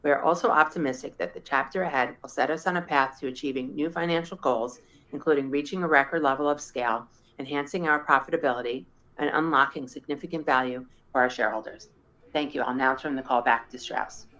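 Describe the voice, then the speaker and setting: A woman reading a scripted financial presentation as a company executive, in a formal, measured manner. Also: rumbling low, fairly bright tone, low-quality recording. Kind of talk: scripted earnings-call presentation.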